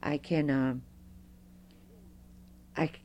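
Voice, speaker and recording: A middle-aged woman, a Fado singer, telling stories with a strong, loud voice in an interview. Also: low-pitched, extremely slow, highs cut off. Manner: animated storyteller, strong loud voice. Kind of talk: oral history interview.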